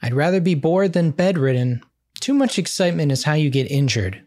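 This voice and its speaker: Casting: woman as fussy, worrywart teen boy, low register, lively swings, prim deadpan comedy delivery. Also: brisk. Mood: fussy, worried. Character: anxious, fussy, hypochondriac teenage boy. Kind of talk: comedic script table read.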